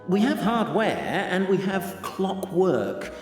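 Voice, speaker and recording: An actor playing a wry, talkative, politely chiding guide in a video game. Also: male voice, high voice, big pitch swings, slow, reverberant, big-room sound, soft background music, full character voice. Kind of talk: video game character acting.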